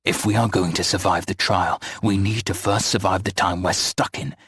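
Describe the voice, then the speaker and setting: A man voicing a stern, guarded young man who talks in a firm monotone drawl. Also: sits low, normal speed, slight background noise, voice pushed down. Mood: hissing.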